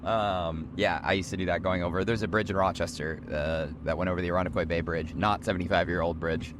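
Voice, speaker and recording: Hoarse, deep-voiced young man chatting in a car. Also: steady tone, quick pace. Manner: rambling, self-correcting, chatty. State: hoarse, short on sleep. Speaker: podcast co-host. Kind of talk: casual chat on a road trip. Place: moving car. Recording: road noise from a moving car.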